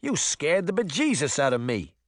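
A man's voice: a little high, very animated, normal speed, dry recording, clean studio sound, voice pushed up. A man voicing a nervous, paranoid male cat who is an army veteran, with a New Jersey accent. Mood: panicky. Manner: nervous, dramatic, doom-laden.

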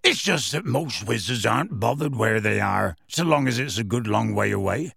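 A huge, gruff half-giant gamekeeper tells his tale. The voice is husky and rough, swinging freely in pitch, with folksy, unhurried phrasing. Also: lively intonation, a bit bright, no room sound, clean studio sound, full character voice. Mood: cagey. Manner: folksy, ungrammatical, unhurried storytelling. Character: huge gruff half-giant gamekeeper, rustic, warm-hearted, plain-spoken. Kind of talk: audiobook character voice.

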